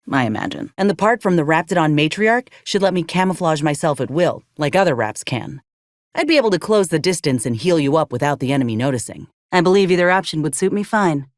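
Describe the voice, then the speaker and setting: A woman's voice: low-pitched, no echo. A woman voicing a tough, dry-witted soldier companion in a video game, blunt and wry with folksy phrasing. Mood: resolute.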